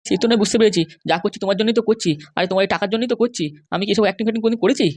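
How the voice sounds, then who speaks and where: A performer doing a middle-aged Bengali husband from a comedy cartoon, talking extremely fast in a close, dry recording. He placates and complains by turns, worried but always giving in. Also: medium pitch, steady tone, a little mellow, no room sound, lightly acted. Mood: cautious, grumbling. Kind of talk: animated comedy cartoon dialogue.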